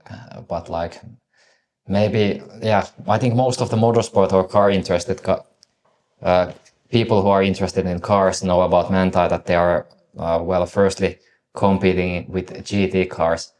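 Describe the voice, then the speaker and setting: A man's voice: low-pitched, level tone, measured pace, darkish tone. A man hosting a podcast interview, asking long, rambling questions full of "like" and restarts. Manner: rambling, heavy use of "like" and restarts.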